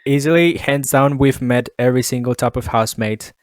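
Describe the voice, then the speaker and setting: A man's voice: mid-range voice, brisk, no echo, clean studio sound. A man from Colombia whose first language is Spanish, co-hosting a podcast in casual chat, rambling with many restarts and repeated words. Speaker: podcast co-host.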